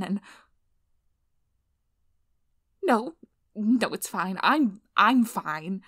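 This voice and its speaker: A woman playing a nervous young female PhD researcher in an ASMR role-play, flustered, halting and apologetic, with breathy whispered asides. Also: mid-range voice, no echo, clean studio sound, natural voice. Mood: embarrassed.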